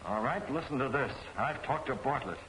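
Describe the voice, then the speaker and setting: An actor playing a stern masked crime fighter, terse and demanding, in 1940s film serial style. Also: male voice, mid-range voice, expressive, a little fast, a bit bright, slight background noise, lightly acted, low-quality recording.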